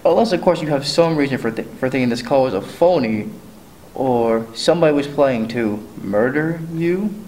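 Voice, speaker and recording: A man's voice: medium pitch, lively intonation, normal speed, darkish tone, natural voice, low-quality recording. A bored, patronizing cop played by a man in an old-fashioned thriller play. He placates brusquely and dismissively, over faint background noise. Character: dismissive, bored, patronizing male police sergeant. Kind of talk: stage adaptation of a 1940s radio thriller.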